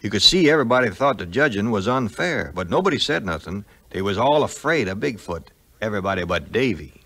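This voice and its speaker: An actor playing a grumbling, loyal backwoods sidekick with a rustic Southern US twang in a 1950s record drama. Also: male voice, on the low side, sing-song, fairly quick, pushed and bright, exaggerated voice. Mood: storytelling. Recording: 1950s vinyl record, music behind.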